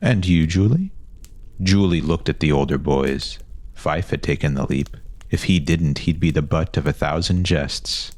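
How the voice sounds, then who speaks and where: A male narrator with an exceptionally deep voice reads a fantasy tale. The delivery is scripted storytelling, captured very dry with no room sound. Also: steady tone, normal speed, a little mellow, dry recording.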